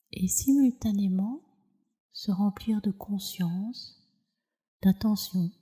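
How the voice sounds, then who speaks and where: A woman guides a relaxation practice in a soothing, soft voice. Her tone is deeply mellow and warm, at a medium pitch. She takes her time, with slow, measured phrases that trail off breathily. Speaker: yoga nidra teacher, meditation guide.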